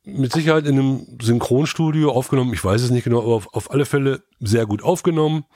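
A man's voice: medium pitch, fast, mellow, no echo, studio quality. A man co-hosting a podcast in casual panel chat, colloquial and cheekily self-important. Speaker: podcast co-host.

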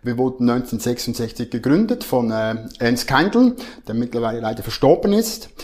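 A man's voice: medium pitch, sing-song, fairly quick, darkish tone. A man in his forties with a Swiss German accent, a podcast guest who talks earnestly with hesitations and self-corrections. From Switzerland. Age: 40s. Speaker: guest, marketing head. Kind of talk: podcast interview.

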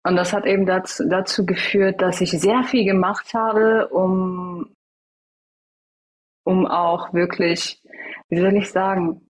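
A woman's voice: lowish voice, steady tone, slow, low-quality recording. A woman in her thirties whose first language is Farsi, a guest telling her life story in a casual interview, hesitant and reflective with many fillers.

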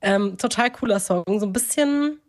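A woman's voice: on the high side, normal speed, slight background noise. A woman co-hosting a podcast in a casual chat, talkative and often correcting herself mid-sentence. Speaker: podcast co-host.

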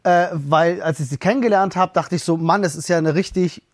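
Quick, high-voiced earnest man. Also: no room sound, studio quality. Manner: earnest, explaining, animated. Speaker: podcast host. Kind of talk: casual chat.

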